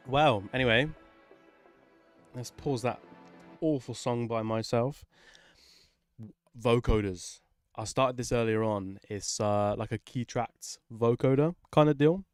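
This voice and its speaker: A man's voice: mid-range voice, takes it slowly, darkish tone, no echo, faint music behind. A man casually chatting on a livestream while he works, informal and sweary, often muttering to himself. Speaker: livestream host, music maker.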